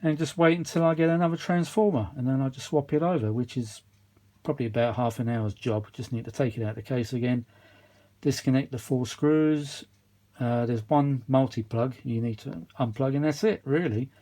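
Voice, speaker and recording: A man with a mid-pitched voice chats casually as he works. He rambles without a script, grumbling in a mildly weary way, like a hobbyist repair vlogger talking through a job. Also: normal speed, mellow. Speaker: vintage audio repair vlogger. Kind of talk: casual unscripted workshop chat.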